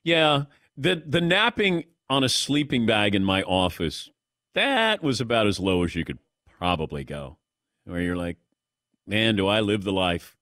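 A middle-aged man hosting a sports talk radio show, chatting with callers and crew in a relaxed, self-deprecating way. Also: on the low side, measured pace, no room sound, clean studio sound.

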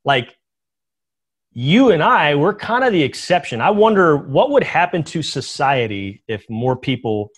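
A man in his forties interviewing a guest in a relaxed, conversational way. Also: fairly high pitch, unhurried, husky. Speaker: interviewer, team media host. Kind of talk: casual interview chat.

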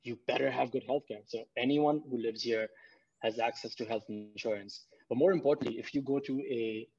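A man's voice: medium pitch, steady tone, brisk, darkish tone, slightly rough, dull audio. A man from Pakistan, a guest in a casual conversation, talking earnestly and often repeating words as he gathers his thoughts. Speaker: podcast guest.

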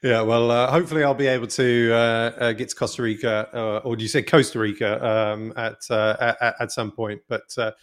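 A male podcast host at a middle pitch asks his guest about himself. He hesitates constantly, filling with uh and um and doubling words as he goes. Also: level tone, fairly quick, few pauses. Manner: hesitant, many uh and um, repeats words. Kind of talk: casual interview questions.

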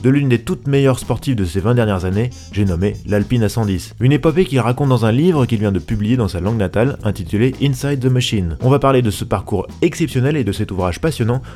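A man's voice: medium pitch, animated, fast talker, few pauses, dark tone, soft background music. A man in his forties, a car journalist hosting a podcast, speaking eagerly and often stumbling over his words. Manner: enthusiastic, stammers and restarts a lot. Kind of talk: podcast interview.

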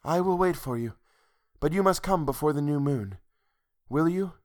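Brief: male reader as frail young sweetheart in a storybook, wistful, timid, sighing, voice lifted softly. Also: on the high side, lively intonation, moderate pace, voice pushed up, close to an everyday voice. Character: dreamy, frail young woman in love, foretelling her death. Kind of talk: literary audiobook character dialogue.

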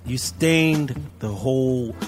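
A man's voice: mid-range voice, slow, soft background music. A man co-hosting a podcast, tossing in short, profane interjections. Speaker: podcast co-host. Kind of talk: casual podcast chat.

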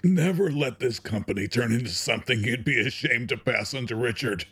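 A man playing a dying old company chairman and father in an audio drama, solemn and remorseful, with a husky, breathy voice and grave, formal speech. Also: mid-range voice, brisk, a bit bright. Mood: solemn resolve. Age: older.

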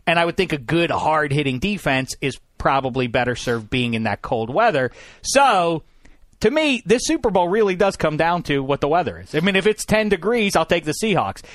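A man's voice: fairly high pitch, sing-song, moderate pace, no echo, clean studio sound. Opinionated male podcast host. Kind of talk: casual sports and movie banter. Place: podcast studio.

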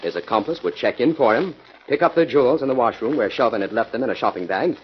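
An actor with an American accent playing a confident, analytical crime-solver in a 1940s radio drama, speaking in an assured, explanatory way. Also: male voice, medium pitch, lively intonation, fast, slight background noise, close to an everyday voice. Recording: dull 1940s radio recording.

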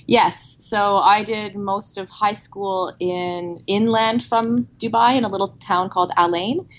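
A woman's voice: medium pitch, steady tone, unhurried, fairly bright tone, low-quality recording. A woman in her thirties with a Canadian accent, answering interview questions in a friendly, talkative way. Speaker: guest athlete.

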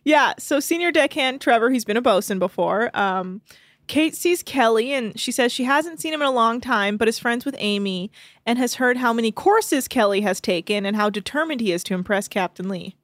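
Woman podcaster chatting and recapping, laughs a lot, crude jokes, slightly high voice. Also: lively intonation, normal speed, dry recording. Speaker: podcast co-host recapping a reality show. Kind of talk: casual chat.

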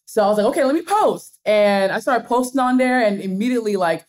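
A young woman from Nigerian American roots, a guest content creator, telling stories in a casual, energetic chat with frequent giggles. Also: a little high, fast talker. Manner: energetic storyteller, animated, giggly.

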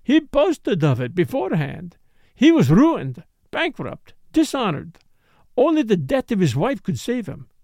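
Narrator doing a fiery scheming foreign dancer woman, higher voice, really dramatic, up and down a lot, very dry recording. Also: wildly swooping pitch, unhurried, darkish tone, dry recording, exaggerated voice. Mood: passionate, angry. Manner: emphatic, dramatic, accusing.

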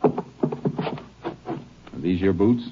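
Deep-voiced, methodical Texas Ranger. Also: male voice. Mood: firm, unhurried. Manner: clipped, matter-of-fact, deliberate. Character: Texas Ranger, calm, methodical, authoritative lawman. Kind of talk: 1950s western radio drama acting. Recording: dull, noisy old radio recording.

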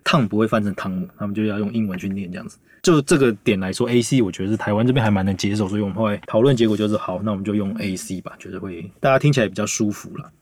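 Fast-talking, low-pitched Taiwanese man. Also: a little mellow, no echo. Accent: Taiwanese Mandarin. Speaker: podcast host. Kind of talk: casual discussion of a story and script.

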